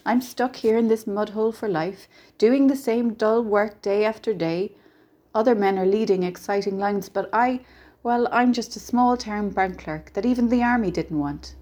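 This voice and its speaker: A woman voicing a bitter, despairing young male small-town bank clerk in a storybook, gruff and scornful as he complains. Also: medium pitch, lively intonation, moderate pace, few pauses, warm tone, natural voice.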